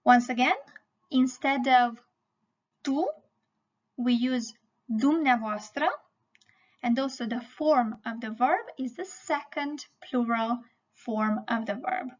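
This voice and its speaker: A woman's voice: a little high, takes it slowly, long pauses, dull audio. A woman teaching a language lesson, explaining didactically and often checking in with the learner.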